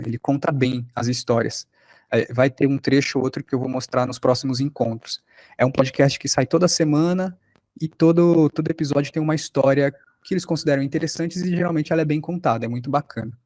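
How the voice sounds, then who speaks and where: A man's voice: medium pitch, a little mellow, no room sound. A man with a Brazilian accent giving an informal online lecture as a workshop instructor.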